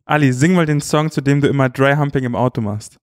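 A man's voice: mid-range voice, fast. A man interviewing a musician in a casual, teasing and enthusiastic way. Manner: teasing, enthusiastic, cheers her on.

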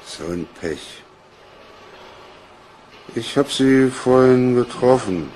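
A sly fat cop in a radio crime play, drawling slow, needling, faint noise. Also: male voice, medium pitch, expressive, a little mellow. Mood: sly, insinuating. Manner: deliberate, insinuating.

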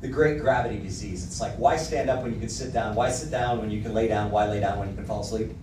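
A man's voice: lowish voice, fast, background noise. A middle-aged man preaching a sermon into a handheld mic, reading song lyrics aloud with emphasis. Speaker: pastor. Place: church hall with projector screen. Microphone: handheld mic, filmed from the back of the room. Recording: echoey hall, mic heard from a distance.

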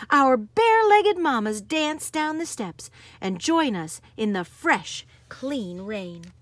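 A woman reading a picture book aloud in an expressive, dramatic manner. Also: fairly high pitch. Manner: animated, dramatic, varies from hushed to exuberant. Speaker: storybook reader.

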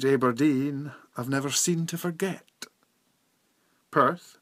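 A man's voice: mid-range voice, fairly bright tone, dry recording. Scottish man reciting poetry, very dry sound. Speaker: poetry reader.

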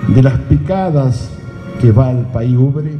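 A man's voice: medium pitch, slow pace, muffled recording. A man delivering grave scripted narration in a radio drama. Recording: echoey hall, dramatic music behind.

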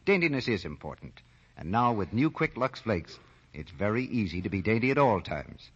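Animated low-voiced 1940s radio announcer, male. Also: normal speed. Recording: muffled, rough 1940 radio recording.

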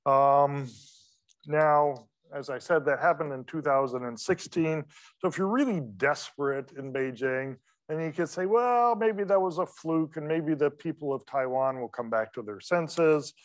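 A middle-aged man giving an academic lecture from a podium microphone in a large wood-paneled hall, talking in a relaxed, conversational way with many fillers and quick asides. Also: a little high. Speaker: guest lecturer.

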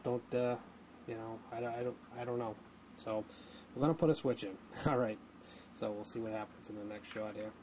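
A man's voice: medium pitch, noisy background. A man thinking aloud in a casual, unscripted how-to narration, like a hobbyist at his workbench. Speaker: hobbyist host demonstrating a radio modification. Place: indoor home workshop, at a workbench.

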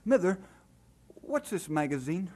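An actor playing an earnest young Scottish working lad in an old-time radio drama. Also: male voice, high voice, big pitch swings, unhurried, warm tone, full character voice. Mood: curious, eager.

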